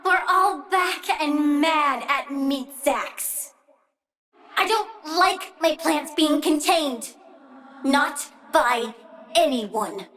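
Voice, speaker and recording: A tiny, bratty plant-girl villain from a video game. The voice is extremely high and sharp, taking its time over each threat. It has a childlike petulance and an eager, sing-song delight in cruelty. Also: very slow, recorded from a distance, heavily acted. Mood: sulky, bossy. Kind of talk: video game character voice lines.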